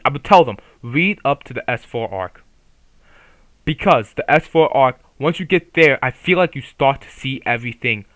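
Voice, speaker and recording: A man's voice: medium pitch, fairly bright tone, no room sound, poor audio. A man giving casual, opinionated commentary on a manga, swearing freely and rambling with restarts. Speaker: YouTuber reviewing a manga.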